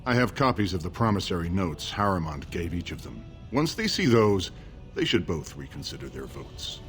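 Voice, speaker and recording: An actor voicing a scheming, haughty dwarf man in a video game, smooth and condescending. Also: low-pitched, very animated, normal speed, fairly bright tone, soft background music. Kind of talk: video game dialogue acting.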